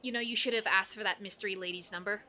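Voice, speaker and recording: A woman playing a brash, excitable young coworker and friend in an audio drama, outspoken and chatty. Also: mid-range voice, fast talker, close to an everyday voice. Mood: teasing, curious. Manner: exuberant, outspoken, nosy.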